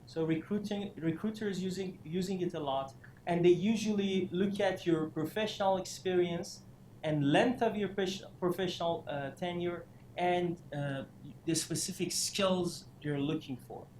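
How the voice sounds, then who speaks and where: A man raised in Iran giving a career workshop lecture, halting with many fillers and restarts, breaking into animated asides. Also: sits high. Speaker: career development instructor. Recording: echoey room, faint noise.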